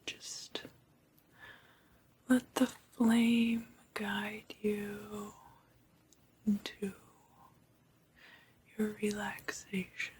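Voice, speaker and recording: A woman hosting ASMR, whispering a guided meditation in a slow, hushed manner and softly repeating her phrases. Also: mid-range voice, level tone, breathy edge. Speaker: ASMR video host.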